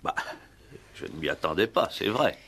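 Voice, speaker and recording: Male proper country physician, vintage radio play; low register with sweeping, emphatic intonation. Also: sing-song, moderate pace, gravelly, fairly bright tone, full character voice. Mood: formal, obliging. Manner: precise, deferential, emphatic. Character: conscientious, formal male country doctor. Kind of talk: 1960s French radio drama acting.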